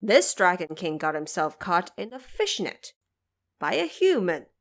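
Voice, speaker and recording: A woman's voice: on the low side, big pitch swings, measured pace. A woman hosting a podcast in scripted explanatory narration, articulate and pointedly emphatic. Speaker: podcast host.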